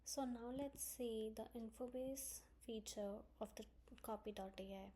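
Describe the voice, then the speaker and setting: A woman presenting a software tutorial, explaining each step in instructional narration. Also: on the high side, measured pace, slightly rough. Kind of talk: screen-recorded software walkthrough, instructional narration.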